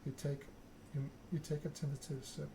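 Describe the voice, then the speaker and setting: A man reading a narrative game aloud in an ASMR style, in a measured storybook manner. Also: on the high side, flat delivery, gravelly, noisy background. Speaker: let's play host reading game text aloud. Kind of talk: ASMR narration of a visual novel.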